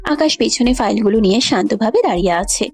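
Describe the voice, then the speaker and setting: A woman's voice: on the high side, lively intonation, fast talker, creaky, darkish tone. A woman narrating a scripted romantic story.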